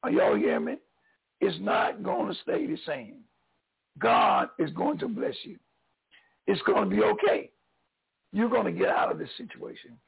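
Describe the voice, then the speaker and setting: Older Southern preacher, hoarse and gravelly, high lively voice, phone-line sound. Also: male voice, moderate pace, long pauses. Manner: preacherly, swings between loud and soft. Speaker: pastor. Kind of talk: encouraging sermon.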